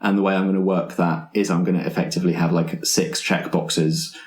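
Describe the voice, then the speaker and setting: A man with a low voice explaining tabletop game rules as host in a casual, measured way. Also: flat delivery, darkish tone, roomy sound.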